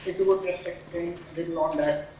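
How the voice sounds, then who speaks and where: A man asking his teacher a question in class, hesitant with many pauses. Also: fairly high pitch, fairly even intonation, warm tone, heavy reverb, slight background noise.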